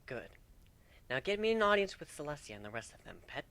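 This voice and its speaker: A man voicing a sly, playful female changeling pony in a hushed murmur, in a dry dramatic fan fiction reading. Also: fairly high pitch, big pitch swings, brisk, no room sound. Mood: teasing, affectionate.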